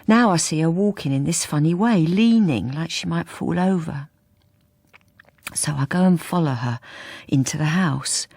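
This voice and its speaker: An actress playing a troubled middle-aged British wife who speaks hesitantly and confidingly in a radio drama. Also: female voice, low voice, moderate pace, darkish tone, slightly rough, no echo, clean studio sound. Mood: wry, confiding. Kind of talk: intimate first-person radio drama narration and dialogue.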